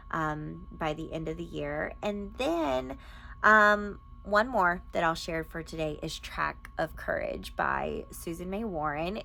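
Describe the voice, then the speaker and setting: A woman's voice: lowish voice, creaky, pushed and bright. A woman talking casually and enthusiastically, like a vlogger sharing recommendations with her viewers. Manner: enthusiastic, informal. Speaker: book reviewer and podcast host. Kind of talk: casual chatty book recommendation vlog.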